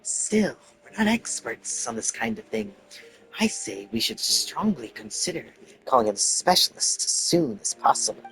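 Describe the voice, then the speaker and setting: A man's voice: on the high side, sing-song, moderate pace, vocal fry, a bit bright, soft background music, exaggerated voice, low-quality recording. A man voicing an advising snake sidekick from a video game, with pronounced sibilant S sounds. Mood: cautious. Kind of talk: reading video game dialogue in character.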